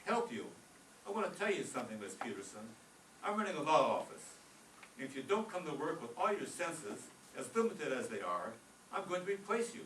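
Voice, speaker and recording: A man's voice: a little high, very animated, fairly quick, a bit bright. A man playing a scornful, wisecracking, blustery office boss in an old-time radio comedy. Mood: stern, scornful. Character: exasperated, sarcastic male law-office boss. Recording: roomy, distant stage recording with faint noise.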